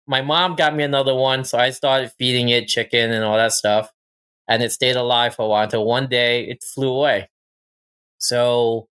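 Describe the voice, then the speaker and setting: A man's voice: mid-range voice, bright, forceful tone, clean tone. Casual man recounting his own experiences. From Thailand. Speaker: podcast guest, falconer. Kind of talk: casual personal storytelling and chat.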